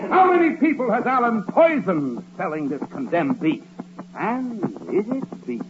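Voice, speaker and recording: Blustering male prosecutor, high and swooping, pompous and indignant, dull vintage radio sound. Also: big pitch swings, unhurried, background noise. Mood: indignant, accusing. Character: blustering, bullying male prosecutor and judge in courtroom farce. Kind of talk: 1940s radio comedy acting.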